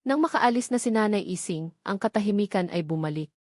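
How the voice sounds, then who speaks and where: A woman reading a scripted dramatic story in measured, deliberate short phrases. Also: medium pitch, animated, a little mellow, no room sound, studio quality. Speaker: audiobook narrator.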